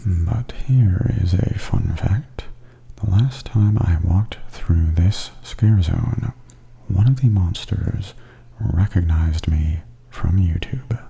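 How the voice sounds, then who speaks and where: A man giving soft-spoken, hushed and often whispery ASMR commentary as a video host. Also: sits low, slow, vocal fry, slightly rough. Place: voice-over added after filming.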